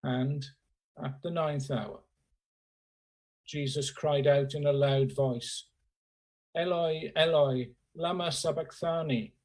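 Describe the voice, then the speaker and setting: Male scripture reader for worship, husky voice, slow and solemn, pausing between phrases, on a video call. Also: fairly high pitch, steady tone, a little mellow. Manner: slow, measured phrasing with pauses. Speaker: scripture reader at a church service. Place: remote, on a Zoom call. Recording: remote video call audio.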